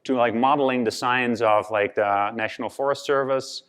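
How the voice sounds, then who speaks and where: A man's voice: mid-range voice, steady tone, a bit bright, smooth. Dutch-accented man giving a conference talk. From Netherlands. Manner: halting, many fillers and restarts. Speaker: conference speaker. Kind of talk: conference presentation.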